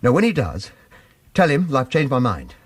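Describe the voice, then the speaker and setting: A man's voice: mid-range voice, wildly swooping pitch, normal speed, husky, no echo. An actor with a British accent playing a dogged, wry man in trouble in a radio thriller, speaking in a clipped, insistent way. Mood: firm, urgent. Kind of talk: radio drama acting with first-person narration.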